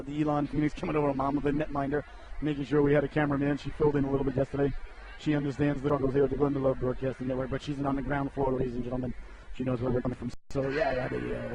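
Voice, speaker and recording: A man's voice: medium pitch, a little mellow, slight background noise, rough recording. A middle-aged man calling an amateur hockey broadcast in a chatty, rambling way, joking with viewers and a bit sniffly. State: sniffly from a cold. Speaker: play-by-play announcer. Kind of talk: casual hockey broadcast commentary.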